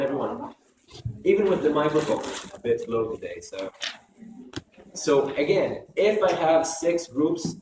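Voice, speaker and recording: Hoarse guy teaching a class, kinda high voice, echoey room, far off and noisy. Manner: repeats points, frequent "okay?" checks. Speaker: university lecturer. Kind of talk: classroom lecture. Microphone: close microphone.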